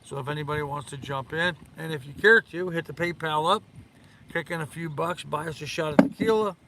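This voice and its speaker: An older man with a hoarse voice, hosting a rambling, casual live stream in a halting, repetitive way. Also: fairly high pitch, poor audio. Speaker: live-stream host of a sailing channel. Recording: loud wind and boat noise.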